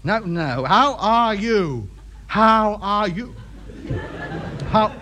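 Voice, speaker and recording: A man's voice: sits high, sing-song, a bit bright, full character voice. A man with an English accent playing an exasperated, self-important amateur radio enthusiast in a sitcom, theatrical and prone to over-enunciating. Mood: effortful, coaxing. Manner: theatrical, slowly over-enunciating or grandly musing. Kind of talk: broadcast sitcom acting.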